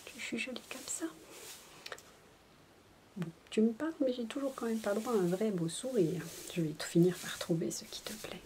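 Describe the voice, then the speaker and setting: A woman playing a zany, playful babysitter who coaxes a young child in a soft-spoken, whispery, silly ASMR roleplay. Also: on the high side, normal speed, noisy background, natural voice. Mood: playful coaxing. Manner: whispery, coaxing, silly, chatty. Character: zany playful babysitter entertaining a six-year-old child.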